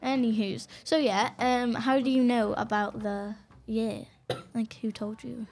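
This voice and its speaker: A woman's voice: a little high, steady tone, unhurried, a little mellow. A girl hosting a home podcast in casual chat with friends, bossy and giggly. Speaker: podcast host. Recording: faint background noise.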